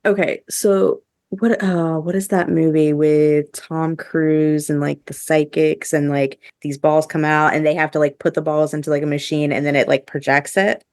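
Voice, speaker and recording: Low-voiced woman with a creaky edge. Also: level tone, normal speed, darkish tone, dry recording, clean studio sound. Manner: chatty, enthusiastic, heavy use of "like". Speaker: podcast co-host. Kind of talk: casual podcast review chat.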